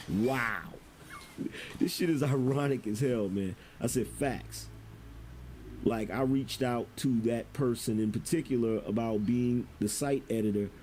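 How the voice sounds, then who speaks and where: A man with a dark, relaxed tone gives casual commentary. He tells stories in loose, profane street slang. There is faint background noise on the recording. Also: medium pitch.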